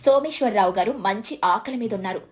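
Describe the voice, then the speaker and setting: A woman's voice: medium pitch, brisk, soft background music. A woman narrating a scripted moral story as its storyteller.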